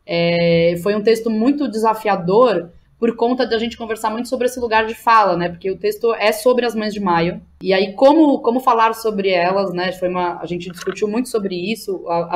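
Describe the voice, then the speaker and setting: Informal Brazilian woman from São Paulo, slangy. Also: mid-range voice. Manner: informal and slangy, thinking aloud, frequently checking with "né". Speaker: interview guest, playwright and theatre educator. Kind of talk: casual podcast interview.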